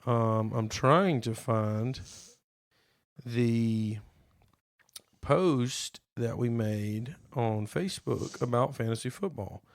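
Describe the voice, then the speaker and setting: A man chats on a podcast in a gravelly, slightly hoarse voice. He teases and jokes and reads aloud in a drawn-out way. The recording is dry and close. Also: mid-range voice, no echo. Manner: playful, teasing, drawn-out reading aloud. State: slightly hoarse from being sick. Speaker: podcast co-host. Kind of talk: casual chat.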